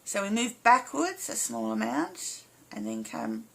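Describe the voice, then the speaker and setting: A woman with a slightly hoarse, croaky voice giving a casual step-by-step craft tutorial in short, informal phrases as an instructor. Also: on the high side, wildly swooping pitch, a little slow. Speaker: embroidery instructor.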